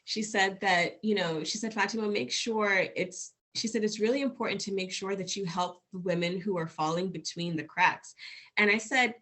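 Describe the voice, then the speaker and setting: A woman's voice: medium pitch, moderate pace. A woman in her forties from Washington, D.C., a guest telling her story in a casual interview with lots of fillers. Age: 40s. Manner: animated, with many fillers and restarts.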